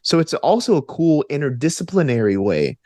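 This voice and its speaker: A man's voice: a little high, very animated, fairly quick, darkish tone, no echo. Enthusiastic man chatting casually, dry close sound. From American South. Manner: enthusiastic, informal, peppered with "like" and "you know". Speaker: podcast guest, actor and gamer. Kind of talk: casual interview chat.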